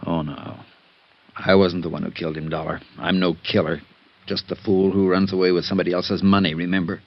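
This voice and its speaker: An actor playing a weary, remorseful embezzler on the run, speaking hesitantly in a 1950s radio drama. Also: male voice, sits low, little pitch movement, fairly quick, gravelly. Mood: weary, defensive. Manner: hesitant, resigned. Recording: dull, hissy vintage radio recording.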